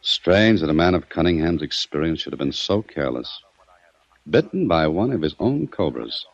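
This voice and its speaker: A very low-voiced, shrewd investigator from a vintage radio crime drama. He is commanding and sardonic, swinging widely in pitch as he needles his man. The old recording is dull and dry. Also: sing-song, moderate pace, full character voice. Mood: coolly accusing. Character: shrewd, cool-headed male investigator baiting a murder suspect.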